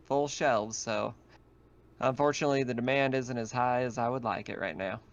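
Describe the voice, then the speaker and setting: Guy doing a folksy flirty store lady for a tabletop game, wry drawl, smooth, kinda muffled audio. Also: medium pitch, moderate pace, lightly acted. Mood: coy, businesslike. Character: folksy, flirty woman liquor store keeper on desert colony.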